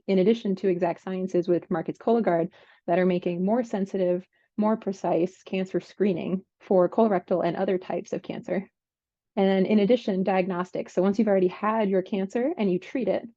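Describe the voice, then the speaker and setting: A woman's voice: mid-range voice, mellow, no echo. A woman working as a biotech analyst, giving a conversational market briefing on a call. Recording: slightly dull, lo-fi call audio.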